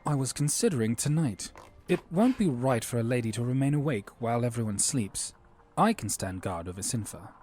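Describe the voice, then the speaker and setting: A man's voice: mid-range voice, lively intonation, a little fast, a little mellow, slight background noise, close to an everyday voice. A man playing an earnest, courteous and wary young knight in a fantasy audio drama, given to questioning.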